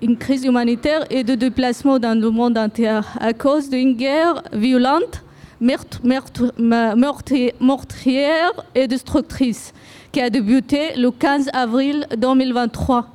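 Slow, high-voiced Sudanese woman speaking French. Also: fairly even intonation, darkish tone. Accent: Sudanese Arabic. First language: Arabic. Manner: hesitant, halting, many fillers and restarts. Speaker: association representative. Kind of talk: public talk at a gathering.

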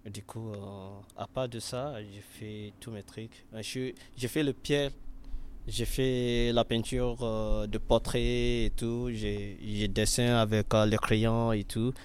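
A man's voice: lowish voice, level tone, slow pace, a little hiss or hum. A young man from western Nigeria whose first language is Yoruba, talking in an interview with many fillers and restarts. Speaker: interviewee, artist and freestyle rapper. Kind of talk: casual interview in learner French.

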